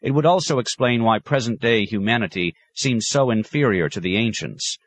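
A man's voice: medium pitch, expressive, normal speed, no echo, pitched above the performer's own voice, close to an everyday voice. A man voicing a learned male scholar who argues stiffly and insistently, reading an audiobook. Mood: arguing. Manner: earnest, insistent lecturing.

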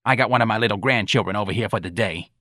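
A man's voice: lowish voice, rapid-fire, pushed and bright, dry recording, studio quality. A man voicing a brash, blunt old grandmother in an audiobook. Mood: scornful. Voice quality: froggy, croaking. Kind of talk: audiobook character dialogue.

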